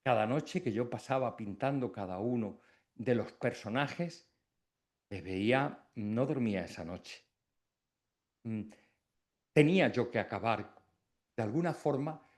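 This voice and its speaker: A man's voice: fairly low pitch, animated. Reflective Spanish man giving formal speech. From Extremadura, Spain. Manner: reflective, with pauses between phrases. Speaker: academic giving an induction speech.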